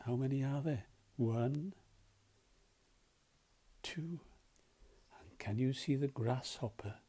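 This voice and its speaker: Children's storyteller. Mellow, unhurried, expressive, playful questions and hushed moments. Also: medium pitch, husky. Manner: animated, dramatic, often drops to hushed tones. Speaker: volunteer storyteller. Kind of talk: expressive read-aloud of a children's picture book, with questions to the listener.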